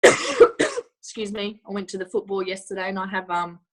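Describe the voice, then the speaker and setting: A woman delivers a lively sales talk to a group, her voice a little croaky from shouting. She keeps checking in with the audience and fills pauses with "um" and "you know". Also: mid-range voice. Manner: enthusiastic, asks the audience questions, many fillers. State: croaky voice from yelling. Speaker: product presenter.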